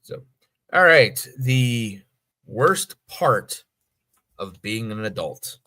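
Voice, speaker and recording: A man's voice: mid-range voice, expressive, drawn-out words, bright, forceful tone. A middle-aged American man chatting casually as a podcast co-host, rambling and swearing freely. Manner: rambling, profane. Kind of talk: casual chat.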